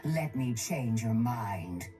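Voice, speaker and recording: Cold, moneyed female crime boss for a video game: low, unhurried, disdainful. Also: expressive, dark tone, soft background music, heavily acted. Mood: haughty. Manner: haughty, measured, contemptuous. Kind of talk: video game character voice lines.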